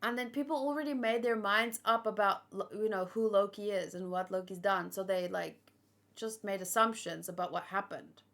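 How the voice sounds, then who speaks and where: A woman's voice: mid-range voice, steady tone, normal speed, gravelly, a bit bright. A woman hosting a livestream, chatting casually and thinking aloud in a rambling way, tired from a long session. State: tired after hours of streaming. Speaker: livestream host. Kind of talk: casual chat, fan theorizing to live viewers.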